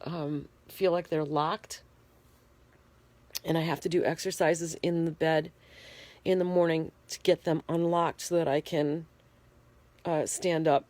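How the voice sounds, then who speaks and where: A woman in a bedroom talking frankly into a handheld phone, congested and shivering, pausing often with fillers. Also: deep voice, steady tone, a little mellow, no echo. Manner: plain-spoken, frank, halting with fillers. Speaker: vlogger. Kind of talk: casual personal video diary. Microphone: phone held in hand.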